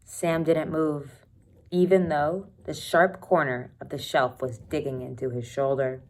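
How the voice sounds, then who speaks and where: A woman teacher reading a children's novel aloud expressively, pausing to think aloud and comment for her students. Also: low-pitched, steady tone, darkish tone. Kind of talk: read-aloud storytelling with asides to students.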